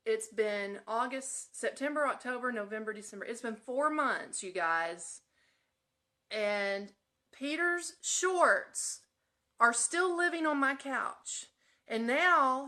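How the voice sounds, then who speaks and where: Southern us lady doing a chatty live radio show, kinda high bright voice, playful and folksy. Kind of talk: chatty live-stream hosting to listeners. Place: at home in an apartment.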